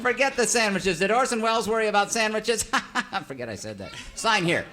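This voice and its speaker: An actor playing a scheming, fast-talking showbiz agent in a radio comedy, blustery and easily exasperated. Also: male voice, high-pitched, animated, noisy background. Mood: slick, pushy. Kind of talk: old-time radio serial parody acting. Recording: music behind the voice.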